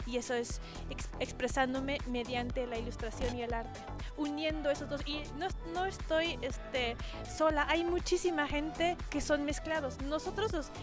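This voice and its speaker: A young woman, an illustrator answering interview questions in a rambling way with many restarts. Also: high voice. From Mexico and the UK. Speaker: illustrator guest.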